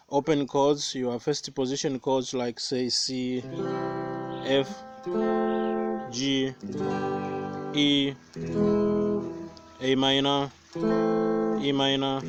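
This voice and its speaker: A young Tanzanian man whose first language is Swahili, giving a slow, careful guitar lesson outdoors with many pauses and fillers. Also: medium pitch. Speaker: guitar teacher. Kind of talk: guitar tutorial. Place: outdoors by banana plants. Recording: faint guitar playing behind voice.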